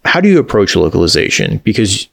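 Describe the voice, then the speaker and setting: A man's voice: fairly low pitch, sing-song, fast, gravelly, a bit bright, breathy edge, dry recording. A man hosting a conversational video game interview, asking wordy, rambling questions. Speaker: interviewer, podcast host.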